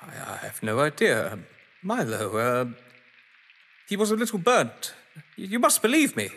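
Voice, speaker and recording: A man's voice: fairly high pitch, sing-song, unhurried, a bit bright, heavily acted. A man acting in an audio drama as a haughty, games-obsessed writer, eloquent, grandiose and sardonic. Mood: rattled, pleading. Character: haughty, theatrical games-obsessed male writer, defensive under suspicion. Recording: long echoing hall reverb.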